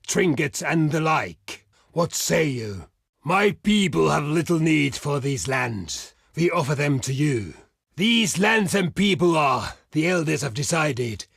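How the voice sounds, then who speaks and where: A proud barbarian envoy from a strategy game, with a rough, gravelly and husky voice. He declaims formally and slowly, weighing each phrase like a grave warrior. The sound is close and dry. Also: on the high side, no echo, heavily acted. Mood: solemn, bargaining, then menacing, blunt. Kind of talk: scripted video game diplomacy lines.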